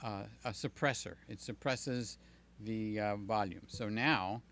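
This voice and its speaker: An older man hosting a live stream, chatting loosely with viewers, his voice slightly hoarse. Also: lowish voice, measured pace, fairly bright tone. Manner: rambling, jokey, talks to chat by name. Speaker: livestream host. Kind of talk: casual live chat with viewers. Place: home room with fans and air conditioner. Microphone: desk mic near the mouth.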